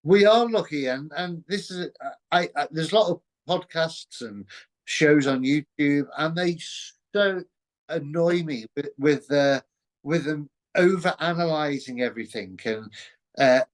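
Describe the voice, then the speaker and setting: A man with a slightly high voice hosts a casual interview. He phrases things haltingly, hesitating as he works toward his point. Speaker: interview show host.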